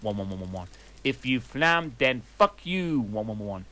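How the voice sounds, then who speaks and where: A man's voice: mid-range voice, animated, moderate pace, pushed and bright. Man giving a mock-earnest reading. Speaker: reader. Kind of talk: comic dramatic reading. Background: fireplace crackle.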